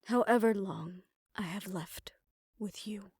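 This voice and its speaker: A woman playing a tender, world-weary lover in a fantasy audio roleplay, speaking in a measured, reflective and intimate way. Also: medium pitch, breathy edge, no echo, studio quality. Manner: measured, reflective, formal phrasing, soft hushed moments. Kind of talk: romantic audio roleplay, intimate scripted monologue.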